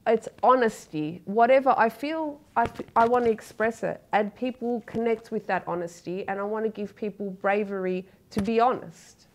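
A woman's voice: mid-range voice, moderate pace, few pauses, darkish tone, slight background noise. Earnest Australian woman poet in studio. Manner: earnest, open, with many fillers and restarts. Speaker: poet, interview guest. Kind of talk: studio interview. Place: dark TV studio, seated in a chair.

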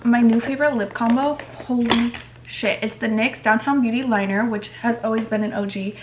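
A woman's voice: a little high, slight background noise. A woman in her twenties vlogging casually at home, chatty and swearing often, filmed on a handheld camera. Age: 20s. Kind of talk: casual vlog chat. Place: apartment bedroom and kitchen. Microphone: handheld vlog camera.

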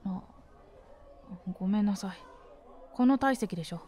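A woman's voice: mid-range voice, moderate pace, mellow, a faint crowd, natural voice. A woman acting a cocky, blunt sixth-grade boy with a good heart in a voice drama. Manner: blunt, boyish, defensive.

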